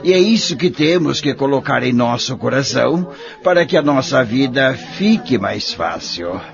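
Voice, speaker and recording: An actor playing a kindly, patient old man in an audio drama, raspy and gravelly, gently persuading and moralizing. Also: medium pitch, lively intonation, normal speed, dull audio, faint music behind. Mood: gently advising. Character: kindly, patient old spiritist friend, an elderly husband.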